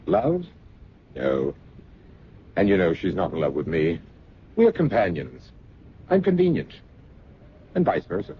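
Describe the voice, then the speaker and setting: An actor playing a suave, candid escort in an old-time radio drama, smooth-talking, wry and breezy. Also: male voice, low voice, huge pitch swings, measured pace, pauses often, exaggerated voice. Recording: muffled, noisy vintage radio recording.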